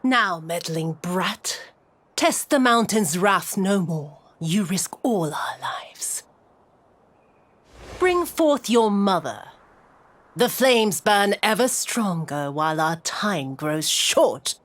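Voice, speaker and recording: A woman's voice: mid-range voice, animated, slow. A woman playing a scornful, menacing village matriarch in a video game cutscene, with a haughty, volatile, theatrical delivery.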